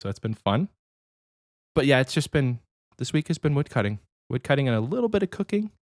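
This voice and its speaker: A man's voice: medium pitch, big pitch swings, brisk, no room sound, clean studio sound. A man in his thirties co-hosting a podcast, chatting casually and rambling a little, sounding slightly nasal from a cold. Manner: rambling, easygoing, many restarts. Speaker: podcast co-host.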